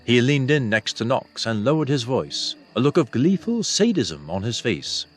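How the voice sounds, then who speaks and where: A man's voice: mid-range voice, big pitch swings, normal speed. A man reading a fantasy novel aloud as scripted audiobook narration. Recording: soft music behind the voice.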